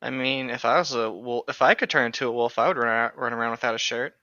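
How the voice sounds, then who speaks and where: A man's voice: medium pitch, steady tone, fast, bright, forceful tone, no echo. A man co-hosting a casual chat, tossing in flippant asides and short jokey interjections, on a slightly dull microphone. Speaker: podcast co-host.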